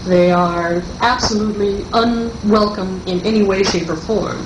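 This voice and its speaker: Woman, game designer, measured and unhurried explainer in a round-table discussion; echoey, hissy cassette sound. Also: mid-range voice. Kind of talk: group interview discussion. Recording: noisy roomy 1982 cassette tape.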